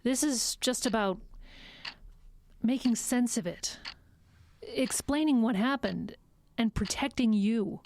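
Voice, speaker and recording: An actress playing a measured, firm and slightly condescending psychologist in an audio drama. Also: female voice, a little high, darkish tone, slight background noise. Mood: earnest, firm.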